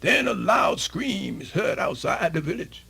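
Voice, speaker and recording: A man telling a folktale for radio theatre in a folksy, exclamatory, drawn-out storytelling manner. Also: high-pitched, big pitch swings, vocal fry, sharp tone, slightly rough. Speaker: storyteller narrator. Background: music.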